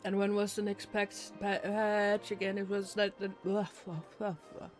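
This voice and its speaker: A man's voice: high voice, completely flat delivery, moderate pace. A man chatting casually with viewers as he streams a game, rambling and muttering distracted asides. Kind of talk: casual live gameplay chat with viewers. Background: faint game music.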